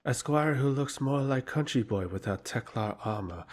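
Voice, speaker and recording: A man playing a scheming, authoritative royal emissary in a fantasy audio drama, speaking in a formal, measured way. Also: medium pitch, animated, close to an everyday voice. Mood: calculating.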